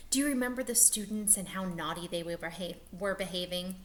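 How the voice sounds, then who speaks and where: A woman teacher reading a picture book aloud to young children, expressive and stretching words for emphasis. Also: on the low side, a little fast.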